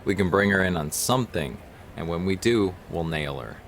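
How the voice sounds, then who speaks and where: An actor playing a steady, determined police detective in an audio drama, confident and matter-of-fact. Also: male voice, low voice, moderate pace, creaky, slight background noise, exaggerated voice. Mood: reassuring.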